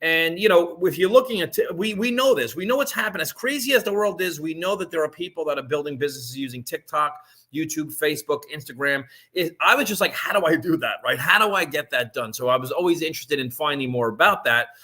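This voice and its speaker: A man, talking casually on a live stream and pitching an online business. He rambles, restarts often and keeps checking in with "right?". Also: lively intonation, fast talker, pushed and bright. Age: 50s.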